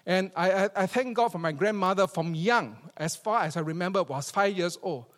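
Singaporean guy preaching in church, pretty high voice, emphatic, big echoey hall. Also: normal speed. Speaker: pastor, preacher. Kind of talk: church sermon with scripture reading and storytelling. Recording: reverberant hall.

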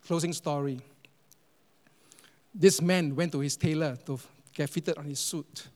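A man with a Singaporean English accent preaching a sermon as pastor, animated and emphatic, repeating phrases for effect. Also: a little high, a little slow. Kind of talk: sermon to a congregation. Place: church auditorium. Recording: long reverb of a large church hall.

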